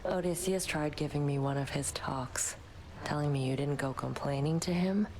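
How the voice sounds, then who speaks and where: A woman's voice: low-pitched, normal speed, darkish tone, a little hiss or hum, rough recording. A woman voicing a brash, combative young warrior rival in a video game, blunt and challenging. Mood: confrontational.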